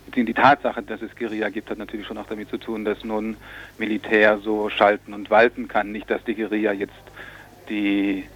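A man's voice: lowish voice, completely flat delivery, brisk, fairly bright tone, rough recording. A man explaining things in a radio interview, in long, winding sentences with some hesitation. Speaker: interviewed commentator on Colombian politics.

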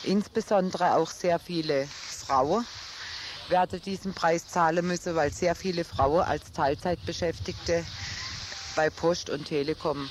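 A woman's voice: lowish voice, measured pace. A woman answering interview questions as a union representative, in a noisy recording. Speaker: works group chairwoman.

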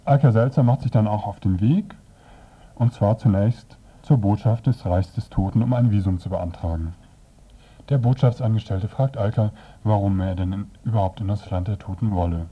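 Low-voiced man reviewing on radio. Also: moderate pace, husky, highs cut off. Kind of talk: scripted radio review, narration.

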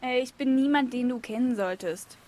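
High-voiced brusque young girl played by a woman. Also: normal speed, darkish tone, close to an everyday voice. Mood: irritated, dismissive. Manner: curt, irritable, slangy. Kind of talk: amateur youth radio play acting. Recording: clear background noise.

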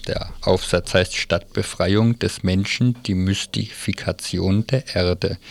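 Halting, gravelly low-voiced man on radio. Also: darkish tone. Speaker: radio presenter reviewing a magazine. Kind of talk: informal spoken review on community radio.

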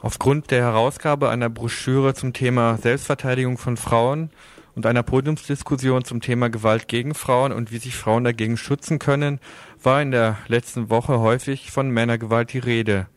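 A man's voice: mid-range voice, steady tone, normal speed, no echo. Dry-sounding man reading a radio commentary. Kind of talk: scripted opinion commentary, read out.

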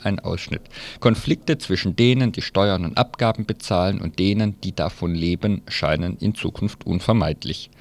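A man's voice: low-pitched, normal speed. A man presenting an informal live radio press review, reading aloud and dropping in loose, colloquial, wry asides.

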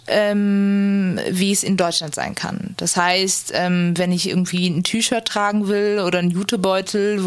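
A woman with a slightly low voice gives a casual, personal interview account. She is hesitant and informal, often filling pauses as she works out what to say.

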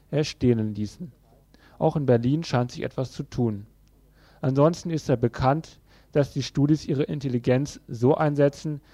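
A male radio presenter reads a scripted news piece with pointed commentary. His voice sits at a middling pitch with a soft, mellow tone. The sound is dry and close, with no room around it. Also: no room sound.

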